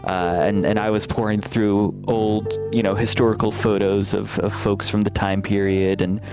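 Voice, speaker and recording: A man talking casually as a game developer guest, in a polite manner with pauses as he thinks. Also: on the low side, little pitch movement. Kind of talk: casual chat. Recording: music playing behind the voice.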